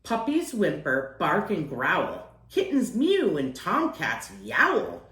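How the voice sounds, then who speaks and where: A woman's voice: on the low side, wildly swooping pitch, slow pace, fairly bright tone, reverberant. A woman reading a children's picture book aloud as a storyteller, acting out phrases and lingering on key words.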